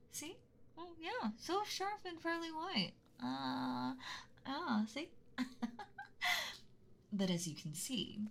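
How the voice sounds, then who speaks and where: A woman voicing a gentle, motherly giant female dragon in a whispered roleplay, speaking in a soft, breathy, doting way. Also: medium pitch, slight background noise. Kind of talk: whispered roleplay audio, ASMR.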